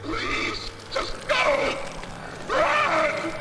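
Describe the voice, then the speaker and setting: A doomed video game character pleads desperately in a very high, wildly swooping voice. The delivery is slow and drawn out, over music. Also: male voice, big pitch swings, pushed and bright, exaggerated voice. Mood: desperate pleading. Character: doomed friendly game character begging others to flee. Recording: game clip with music behind.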